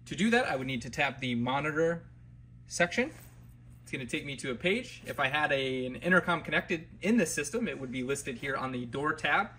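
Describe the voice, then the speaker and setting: A man's voice: a little high, expressive, a little fast, bright, forceful tone, slight background noise. A man presenting a step-by-step product tutorial in an informal, explanatory way. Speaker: tutorial presenter for a security camera company.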